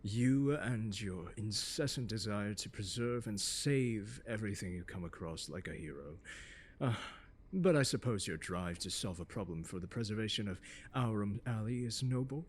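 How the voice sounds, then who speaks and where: A man playing a pompous, condescending genius scholar in an ASMR roleplay, lecturing a pupil in a haughty, mocking, deliberate and articulate way. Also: on the low side, breathy edge. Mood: haughty, grudging. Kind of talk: ASMR roleplay monologue, second-person lecture.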